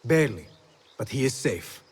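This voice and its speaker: An actor playing an earnest, humble and determined young assassin in a video game cutscene. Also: male voice, medium pitch, sing-song, moderate pace, husky. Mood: calm, resolute.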